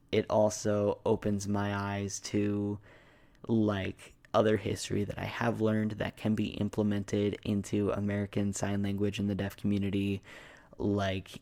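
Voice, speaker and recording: Low, flat, creaky young man's ASMR voice. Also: a little slow, no echo. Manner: casual, hesitant, meandering, frequent "like". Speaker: ASMR video creator. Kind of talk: soft-spoken ASMR rambling.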